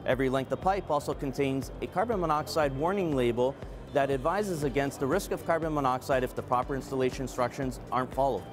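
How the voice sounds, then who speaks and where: Earnest man presenting a product over music. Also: medium pitch. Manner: measured, earnest, reads from a script. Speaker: product manager. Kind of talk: scripted corporate product presentation. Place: trade show booth and studio backdrop. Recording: clean voice over background music.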